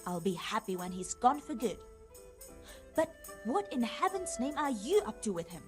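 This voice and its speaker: An actress playing a young tavern maid in a video game, coy, sweet and affectionate. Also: female voice, on the high side, very animated, moderate pace, music in the background. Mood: concerned. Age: young adult. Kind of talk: video game cutscene acting.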